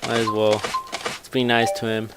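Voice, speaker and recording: Casual man gamer with gravelly fry. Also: lowish voice, monotone, normal speed, a bit bright, slight background noise, low-quality recording. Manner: rambling, muttering to himself. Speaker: gamer, let's player. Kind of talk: casual gameplay commentary.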